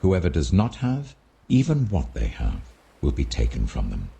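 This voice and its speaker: A man plays a stern, wealthy English master in a scripture audiobook. The voice is extremely deep and husky, commanding and measured, with scorn in the way judgement is passed. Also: huge pitch swings, dark tone, exaggerated voice. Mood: stern, condemning. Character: stern wealthy male master judging his servant.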